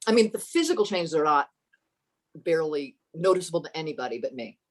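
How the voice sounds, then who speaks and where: A woman with a fairly low voice speaks as a podcast guest, thinking aloud and circling back on her words. She is earnest and unhurried and leans into the points she cares about. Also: expressive. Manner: thoughtful, halting, with many restarts. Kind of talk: reflective personal storytelling.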